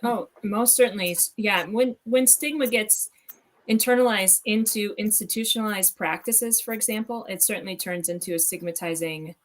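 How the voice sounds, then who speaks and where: A woman guest expert explains her points in a measured, steady way on a live online discussion. Her pitch is mid-range for a woman. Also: poor audio. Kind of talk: informative explanation.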